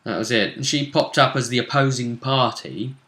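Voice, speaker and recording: A man hosts a casual chat about a tabletop role-playing game. His voice is medium in pitch and sharply bright. The sound is roomy, with noticeable echo around it. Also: moderate pace, slight background noise. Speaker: host recapping a tabletop role-play game.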